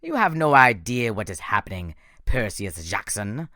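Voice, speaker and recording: A man voicing a menacing male monster who speaks slowly and theatrically, gloating over his captives. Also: fairly low pitch, sing-song, pushed and bright, no echo, studio quality, full character voice.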